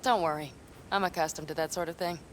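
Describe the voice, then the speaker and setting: A woman's voice: deep voice, fairly even intonation, fast, slight background noise, natural voice. An actress voicing a blunt, self-assured female desert nomad in a video game who lays out plans in a dry, matter-of-fact way. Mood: calm, assured. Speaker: game character.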